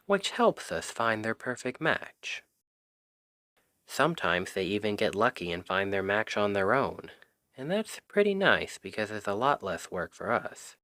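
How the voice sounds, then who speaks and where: A man's voice: medium pitch, a bit bright, dry recording, clean studio sound. A man playing an exasperated, secretly smitten young Cupid best friend in a conversational, emphatic ASMR roleplay. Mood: casual explaining. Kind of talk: ASMR roleplay audio drama, one-sided conversation.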